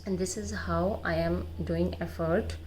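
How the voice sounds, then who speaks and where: Young indian woman vlogging, slow and hesitant, lots of uhs, lowish voice, faint hum behind. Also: steady tone, a little mellow, low-quality recording. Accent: Indian English. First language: not English. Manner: halting, many "uh" fillers and restarts. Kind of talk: unscripted advice monologue.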